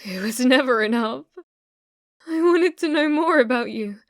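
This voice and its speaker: Woman acting an obsessive British stalker girl, unhurried and intimate. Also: high voice, lively intonation, dry recording, studio quality. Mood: brooding, wistful. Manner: intimate, unhinged, swinging between whispers and intense pleading. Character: obsessive, delusional young woman stalker, sweetly menacing. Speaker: ASMR roleplay performer. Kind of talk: ASMR roleplay acting. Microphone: close ASMR microphone.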